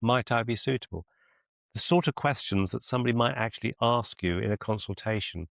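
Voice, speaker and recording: A man coaching one-to-one on a video call as a mentor, deliberate and encouraging, often repeating phrases for emphasis. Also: mid-range voice, gravelly, dry recording. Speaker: business mentor and clinician.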